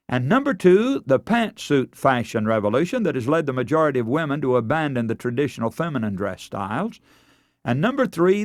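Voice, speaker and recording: A man with an American accent preaching a prepared radio sermon in an earnest, emphatic, deliberate oratorical manner. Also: medium pitch, no room sound, studio quality.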